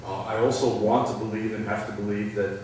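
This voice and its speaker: A middle-aged man answering audience questions at a public talk, thoughtful and halting, with many restarts. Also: fairly low pitch, little pitch movement, a little mellow. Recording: echoey hall, far from the mic, faint noise.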